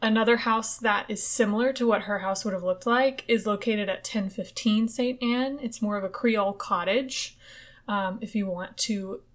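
A woman's voice: medium pitch, steady tone. Chatty young woman podcast host. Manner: chatty, swears casually, quick asides. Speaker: podcast host telling ghost stories. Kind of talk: casual chat.

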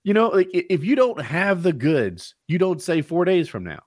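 A man with a high, very lively voice, scolding in a casual podcast chat. He sounds exasperated, and his dry, close recording has almost no room sound. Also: normal speed, dry recording. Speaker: podcast co-host.

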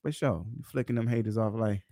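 A man's voice: fairly low pitch, fairly quick, a crowd in the background. A man hosting a casual interview podcast, slangy and teasing, often laughing. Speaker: podcast host.

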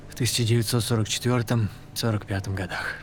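An actor playing a terse, grieving freed prisoner of war with a Russian accent, solemn and naturalistic. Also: male voice, on the low side, fairly even intonation, fast, slight background noise, lightly acted. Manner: curt, solemn. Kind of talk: naturalistic audio drama acting.

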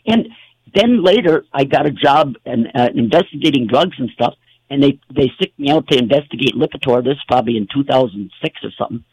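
A man's voice: medium pitch, animated, fairly quick, darkish tone, poor audio. A man hosting a talk show, telling stories in a rambling, casual way with many fillers and restarts. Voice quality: gravelly, husky.

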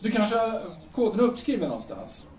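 An earnest, nervous male passer-by played by an actor in an audio drama, with a high, very lively voice. He stammers and pleads, pressing his point. He sounds set back from the mic in a roomy recording. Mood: puzzled. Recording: roomy, distant mic with noise.

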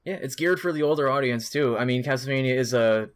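A man's voice: medium pitch, quick pace, clean tone. A young man with a mid-range voice. He chats as a podcast co-host in an informal, loosely phrased and sometimes crude way. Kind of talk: casual chat.

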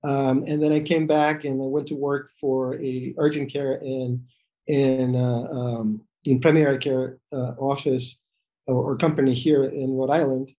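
A man's voice: mid-range voice, level tone, unhurried, warm tone. A middle-aged man from El Salvador, a physician answering interview questions in a hesitant way with many fillers and restarts, heard over a video call. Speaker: physician guest.

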